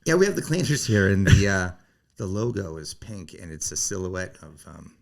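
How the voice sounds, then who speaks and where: A man in his forties with a raspy, gravelly voice hosts a casual comedy podcast. His pitch swoops around a lot, and he drops deadpan throwaway jokes. He talks from a basement game room in his home. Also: normal speed. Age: 40s. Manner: joking, deadpan asides. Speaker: podcast host.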